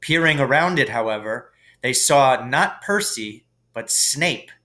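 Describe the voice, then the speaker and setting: A man narrates a children's storybook in a middle-pitched, forceful voice. His reading is expressive and unhurried, like a teacher reading to a class. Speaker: teacher reading a book aloud.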